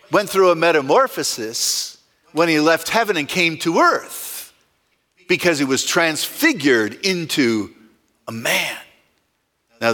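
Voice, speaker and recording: A man's voice: mid-range voice, bright, forceful tone. A male pastor preaching a sermon in a conversational way, asking the congregation rhetorical questions.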